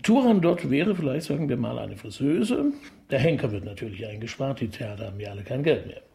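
A man's voice: mid-range voice, lively intonation, brisk, vocal fry, mellow, slightly rough, heavily acted. A man playing a bumbling, cultured police detective in a radio crime comedy, with a hesitant, wordy way of talking. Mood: courteous, sly. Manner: hesitant stammering, fussy and wordy.

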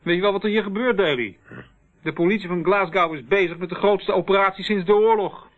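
A man plays a sceptical, harried police officer with a fairly high male voice. The Dutch radio drama has the thin, telephone-like sound of an old broadcast. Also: fairly quick, natural voice. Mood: agitated, sarcastic. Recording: tinny, band-limited old radio play.

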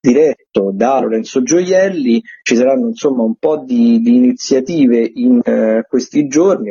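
A very husky man gives an informal rundown of events on the radio. His tone is mellow and he often hesitates or repeats a phrase. The audio is dry and slightly dull. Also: mid-range voice, no echo. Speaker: theatre critic giving a weekly events agenda.

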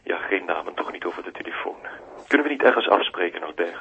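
An actor playing an anxious, secretive gentleman with a Flemish accent in a radio drama, speaking in a hushed, uneasy way. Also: low-pitched, lively intonation, fast, a little hiss or hum, heavily acted. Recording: dull, band-limited voice.

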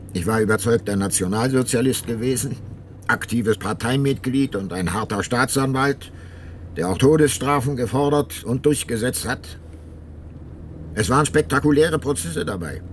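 An actor playing an ageing, remorseful detective and former prosecutor in a radio drama, narrating and talking in a measured, reflective way. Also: male voice, medium pitch, lively intonation, a little hiss or hum, lightly acted. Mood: confessional. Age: older. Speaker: narrator and lead character.

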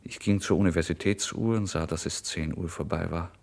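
A man narrating a literary first-person story in a brooding, expressive way, moving between hushed and emphatic delivery. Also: sits low, brisk, creaky. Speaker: audiobook narrator.

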